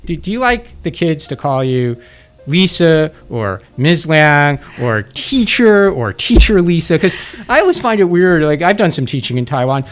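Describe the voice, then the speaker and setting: Halting male radio host. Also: on the high side, faint music behind. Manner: relaxed, halting, repeats words. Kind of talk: radio interview. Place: radio studio.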